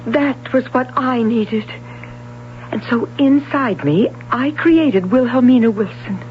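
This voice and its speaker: A woman's voice: fairly high pitch, slow. An actress playing an anxious young woman, a former swimming prodigy, earnest and hesitant, in a 1970s radio drama. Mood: confessional. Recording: dull old radio broadcast, faint hum.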